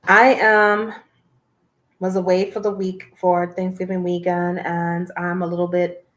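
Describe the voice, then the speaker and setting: A woman's voice: lowish voice, level tone, a little slow. A woman hosting a casual livestream, with a nasal, congested-sounding voice, talking in an earnest, encouraging way and often repeating herself. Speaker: livestream host.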